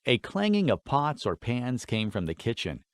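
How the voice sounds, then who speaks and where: Man, scripted fantasy narration, expressive, lively pitch movement, dry studio-like sound. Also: normal speed, dry recording. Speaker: audiobook narrator.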